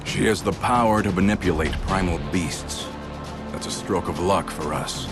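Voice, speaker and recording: Deep-voiced male plotter, cool, detached, measured, video game cutscene. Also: music in the background. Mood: calculating. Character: cold, calculating male schemer.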